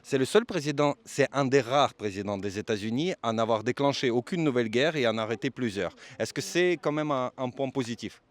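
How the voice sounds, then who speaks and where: A man's voice: medium pitch, lively intonation, brisk, few pauses. A man working as a street interviewer, asking questions politely and formally and giving his commentary. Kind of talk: vox pop interviewing and commentary.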